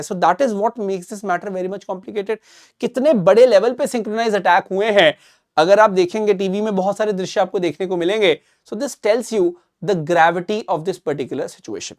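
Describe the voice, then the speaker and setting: An Indian man presenting current affairs like a teacher, emphatic and didactic, slipping between Hindi and English phrases. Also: sits high. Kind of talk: explanatory news commentary for students.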